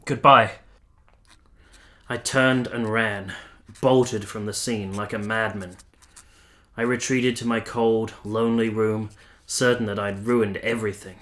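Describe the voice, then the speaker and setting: A man's voice: on the low side, steady tone, a little slow, a bit bright. A man playing a lovelorn, self-pitying young army captain in a radio drama, earnest and at times hesitant. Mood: brooding. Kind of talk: radio drama acting with first-person narration.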